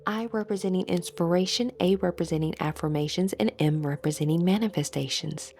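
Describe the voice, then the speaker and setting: A woman leading a scripted guided meditation as an instructor, soothing and measured, with phrases that trail into breathiness. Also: fairly low pitch, a little mellow, slight background noise.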